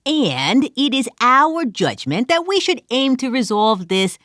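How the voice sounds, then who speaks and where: A woman's voice: on the high side, sing-song, a little slow, fairly bright tone, dry recording, clean studio sound, pitched above the performer's own voice. A woman voicing an earnest adventurer who gives a grand, formal plea for peace.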